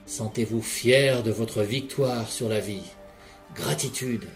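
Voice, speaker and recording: A man leading a guided relaxation session in a calm, measured voice, speaking directly to the listener. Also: medium pitch. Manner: calm, measured second-person guiding. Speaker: hypnotherapist guide. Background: soft background music.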